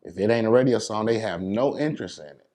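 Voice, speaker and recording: A man from New York City with a fairly low voice, talking as a DJ being interviewed. His speech is casual and slangy, and he lays out advice with emphasis. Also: lively intonation, fast talker, a little mellow. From New York City, United States.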